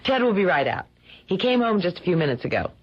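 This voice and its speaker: A woman's voice: on the low side, sing-song, fast talker, breathy edge. A woman acting in a radio drama as a wry, teasing but affectionate wife and hostess, with dry banter. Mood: wry, hospitable. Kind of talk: radio theater acting, naturalistic dialogue. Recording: muffled, dull old radio broadcast.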